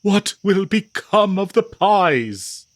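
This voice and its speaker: A man playing a prim, devoted, fretful 19th-century husband in a comedic audio roleplay, speaking in florid, halting, theatrical old-fashioned phrases. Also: high voice, sing-song, no echo, studio quality, heavily acted. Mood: pleading, panicked.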